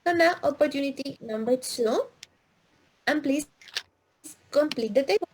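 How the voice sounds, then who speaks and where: A woman teaching an English listening lesson, speaking slowly and repeating answers with emphasis. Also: high-pitched, darkish tone, slight background noise, poor audio. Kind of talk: classroom lesson.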